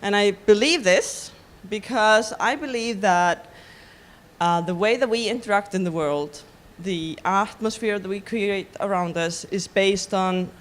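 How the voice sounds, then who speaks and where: A woman from Iceland with an Icelandic accent, giving a conference talk in a halting way with many ums and restarts. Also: medium pitch. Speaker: conference speaker.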